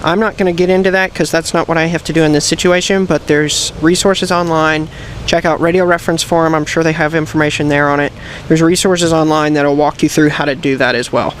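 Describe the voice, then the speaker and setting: A man giving a hands-on tutorial, explaining things step by step in a plain, methodical way. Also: fairly high pitch. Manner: plain, methodical instructional delivery. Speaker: presenter of a how-to video. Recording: clear background noise, vehicle ambience.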